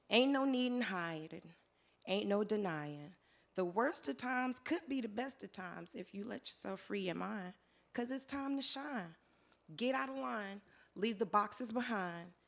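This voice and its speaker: A hoarse woman performing her spoken word poetry live, in a rhythmic rhyming delivery that swings between soft lines and loud declarations. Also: medium pitch. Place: live venue with audience.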